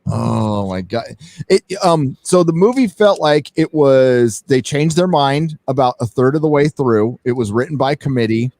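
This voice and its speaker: A man hosts a casual chat show, rambling along with restarts and frequent swearing. His mid-pitched voice sits close and dry on the mic, with no room sound around it. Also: lively intonation, moderate pace, darkish tone, no echo. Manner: profane, rambling with fillers and restarts. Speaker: podcast host.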